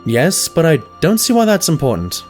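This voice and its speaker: A man's voice: on the high side, wildly swooping pitch, normal speed, a little mellow, faint music behind. A man playing a long-suffering cartoon pony sidekick to a detective, dry, skeptical and grumbling. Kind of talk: scripted audio drama acting.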